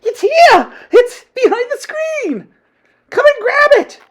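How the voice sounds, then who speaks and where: A man's voice: extremely high pitch, sing-song, unhurried, pushed and bright, voice pushed up, full character voice. A man voicing a cruel, imperious female witch leader who screeches orders in a children's storybook reading. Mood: triumphant.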